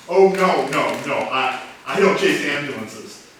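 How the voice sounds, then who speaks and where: A man's voice: a little high, expressive, slow pace, a bit bright. A man playing a petty, smug and awkward lawyer in a staged comedy reading, dry and mock-polite. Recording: very echoey, distant mic, noisy background.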